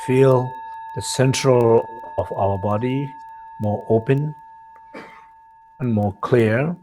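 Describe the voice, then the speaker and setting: A man leads a guided meditation as a teacher, speaking in measured short phrases with pauses between them. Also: mid-range voice, warm tone. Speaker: meditation teacher leading a retreat.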